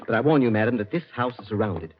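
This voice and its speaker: An actor playing a flippant, suave British gentleman detective in the style of 1940s radio drama. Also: lowish voice, expressive, fast talker, lightly acted. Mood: wry, gallant. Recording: muffled, noisy vintage radio recording.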